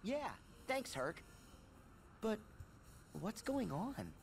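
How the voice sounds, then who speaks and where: A man's voice: sits high, very animated, drawn-out words, gravelly, a little hiss or hum, heavily acted. An actor playing a cheerful, plucky teenage boy hero in a video game, friendly and casual. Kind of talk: animated video game acting.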